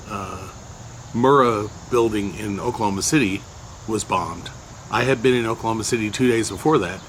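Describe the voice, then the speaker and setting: Low-voiced older man telling a story. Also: slight background noise. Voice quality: loud voice. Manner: unhurried, rambling, with frequent fillers and restarts. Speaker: storyteller recounting a personal memory. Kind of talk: casual spoken recollection.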